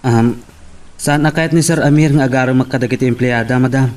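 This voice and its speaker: A man's voice: mid-range voice, fairly even intonation, fairly quick, darkish tone, far from the mic, close to an everyday voice. A man with an Ilocano accent playing a hesitant, deferential employee in an amateur audio drama.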